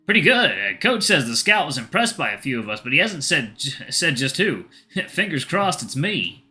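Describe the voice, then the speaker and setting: Man playing an earnest, bright-voiced college boyfriend. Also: a little high, sing-song, normal speed, pitched above the performer's own voice, heavily acted. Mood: modest, hopeful. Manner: polite, hesitant, earnest. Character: polite, earnest young male college athlete boyfriend.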